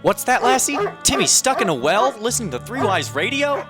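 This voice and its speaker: A man voicing an excited old-TV character talking to a heroic dog in a comic radio bumper, with exaggerated questioning. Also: very high-pitched, a little fast. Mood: mock alarm. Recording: produced bumper with loud music bed.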